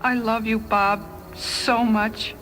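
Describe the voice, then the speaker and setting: A woman's voice: on the high side, little pitch movement, slow, a bit bright, low-quality recording. A woman playing a devoted young woman in love in a dramatised story, earnest, emotional, pleading yet proud. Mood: tender, pleading. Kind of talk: dramatised short-story reading. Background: music.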